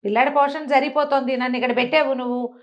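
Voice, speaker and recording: A woman's voice: high-pitched, fairly even intonation, fast talker, highs cut off, voice pushed up, lightly acted. A woman storyteller voicing a blunt, scolding old village caretaker who grumbles in an exasperated, earthy way. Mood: defensive.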